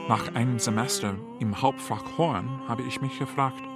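An opera singer delivering a scripted, introspective radio play monologue in a measured way. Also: mid-range voice. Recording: radio production with loud music. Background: loud music.

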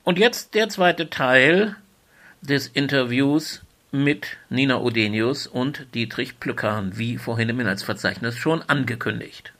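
A man's voice: mid-range voice, lively intonation, measured pace, a bit bright. A man hosting a podcast, speaking conversationally as interviewer and announcer, in chatty, wordy phrasing.

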